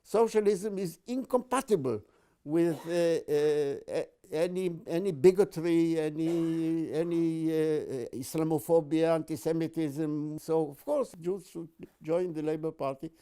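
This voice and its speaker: A man's voice: fairly high pitch, a little slow, dark tone, clear, resonant tone. A man in his eighties from Palestine giving personal testimony, emphatic, with frequent stammering restarts. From born and raised in Palestine. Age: 80s.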